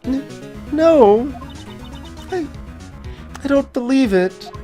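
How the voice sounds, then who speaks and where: A man's voice: very high-pitched, lively intonation, extremely slow, dark tone, faint music behind, pitched above the performer's own voice, exaggerated voice. A man voicing an anxious, earnest young female sidekick in a video game. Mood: disbelieving. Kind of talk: voiced visual novel game dialogue.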